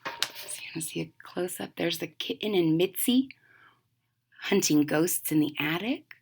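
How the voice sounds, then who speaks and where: Highly expressive woman reading a storybook aloud. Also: unhurried, creaky, husky. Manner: addresses young listeners with questions. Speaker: storybook reader and channel host. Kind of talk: expressive children's read-aloud, with chatty asides.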